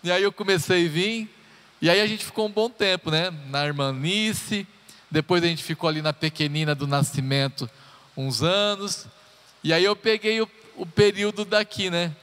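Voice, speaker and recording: A man's voice: high voice, moderate pace, fairly bright tone, clear, resonant tone. Chatty man preaching in a church hall. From Brazil. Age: 30s. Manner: chatty and joking, talks straight to the congregation. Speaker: pastor. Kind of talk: sermon introduction, personal stories. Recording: live church hall reverb.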